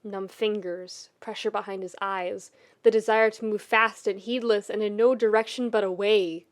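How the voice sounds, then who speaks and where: A woman reading fiction as a dramatic, emotive narrator, measured and with deliberate pauses. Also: medium pitch, steady tone. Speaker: podfic narrator.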